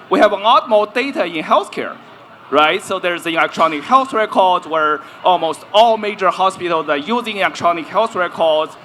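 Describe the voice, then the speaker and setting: A man's voice: high voice, a bit bright, slight background noise. A man in his forties, a researcher on a live panel, explaining at length and checking in with "right?" often. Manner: explanatory, peppers talk with "right?". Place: stage at a festival panel.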